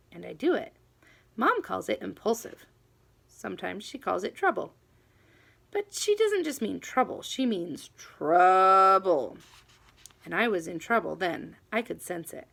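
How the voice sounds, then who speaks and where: Female storybook narrator, lively and expressive, swooping intonation, very gravelly fry in the voice. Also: medium pitch. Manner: animated, dramatic emphasis on key words. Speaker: audiobook reader. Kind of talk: expressive children's book narration.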